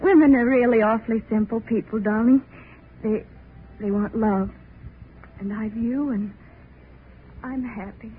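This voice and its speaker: A woman's voice: a little high, steady tone, unhurried, pauses often, natural voice. An actress playing a giddy, breathless young bride in love with her new husband, in 1930s radio acting style. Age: young adult. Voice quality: breathless, sentimental. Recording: tinny old radio recording with faint hiss.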